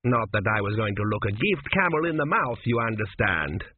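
A man's voice: lowish voice, big pitch swings, fairly quick. A man voicing a crude, cheeky old male ancestor who was a swindler, with a blunt, sneering manner. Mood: gleeful. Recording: tinny phone-like sound, faint hiss.

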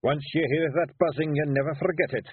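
A man's voice: on the high side, fairly even intonation, fairly quick, husky, no echo, pitched above the performer's own voice. Man doing a blunt burly Scottish fairy clansman. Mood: grave. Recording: tinny phone-like audio.

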